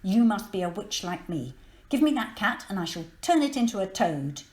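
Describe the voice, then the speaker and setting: A woman voicing a wicked, bossy pantomime witch for a children's storybook, loud and theatrical with drawn-out commands. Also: mid-range voice, sing-song, reverberant, natural voice. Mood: scheming. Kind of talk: children's storybook character reading.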